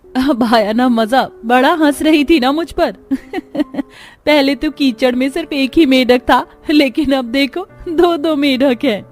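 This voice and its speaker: A woman's voice: high voice, slow, soft background music. A woman voicing young village daughters-in-law in a moral-story cartoon, theatrical and exclamatory as they bicker and complain. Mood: teasing.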